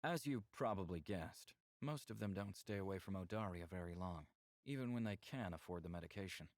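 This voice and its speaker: A man voicing an earnest, open-minded male alien in a visual novel, with measured and formal phrasing. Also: sits low, no echo, pitched below the performer's own voice, close to an everyday voice. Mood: measured, candid.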